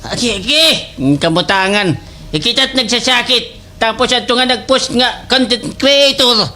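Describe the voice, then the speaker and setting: Bright-voiced, flustered Waray village dad. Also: male voice, very high voice, moderate pace, exaggerated voice. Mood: grumbling. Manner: stammering, exclaiming, prone to laughing. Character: loud, hapless village father, comic complainer. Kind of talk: slapstick radio comedy acting. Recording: faint background noise.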